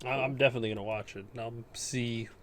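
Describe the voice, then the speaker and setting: A man's voice: mid-range voice, fairly even intonation, fast talker, a bit bright. A man co-hosting a podcast, chatting casually and teasing his friends. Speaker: podcast co-host. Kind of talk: casual chat.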